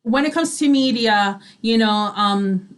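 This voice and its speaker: A woman's voice: a little high, measured pace, smooth. A woman co-hosting an online radio show, chatting casually and reading out show announcements.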